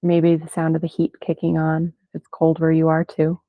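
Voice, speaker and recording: Phone-like, even-toned woman guiding meditation. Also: low-pitched, no echo. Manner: gentle, unhurried phrases with long pauses. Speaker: meditation guide. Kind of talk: guided meditation. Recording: band-limited, phone-like sound, dry.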